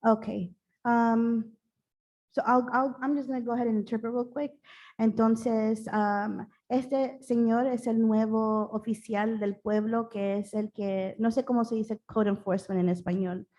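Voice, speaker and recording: A woman serving as mayor, chairing a town council meeting in a brisk, informal way and interpreting into Spanish herself. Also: fairly high pitch, fairly even intonation, mellow, lo-fi sound.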